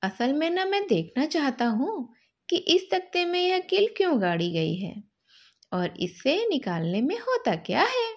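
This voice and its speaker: A woman's voice: high-pitched, big pitch swings, unhurried, voice pushed up, heavily acted. A woman voicing a mischievous, stubborn young monkey in a children's storybook tale, with a childlike, pleading manner. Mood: curious, stubborn.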